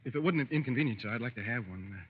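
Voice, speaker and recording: A man's voice: medium pitch, lively intonation, very fast, close to an everyday voice. An actor playing a nervous, sheepish young newlywed man in old-time radio style, hesitant and stammering. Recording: muffled, faintly noisy vintage radio recording.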